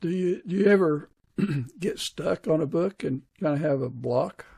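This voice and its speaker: A man hosts a podcast in a casual, conversational way. His voice sits a little high for a man, with a husky, crackly grain, and the recording is close and dry. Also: lively intonation, measured pace, mellow, no echo. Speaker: podcast host.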